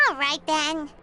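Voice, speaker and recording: A woman voicing a tiny, childlike, excitable companion girl in a video game, with a squeaky, expressive delivery. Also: full character voice. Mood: hushed, wary. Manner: expressive, chirpy. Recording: music behind the voice.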